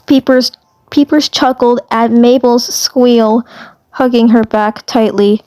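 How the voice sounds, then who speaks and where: A woman's voice: on the high side, fairly even intonation, takes it slowly, mellow. A woman reading amateur story narration for a fandub, stumbling and repeating words as she goes.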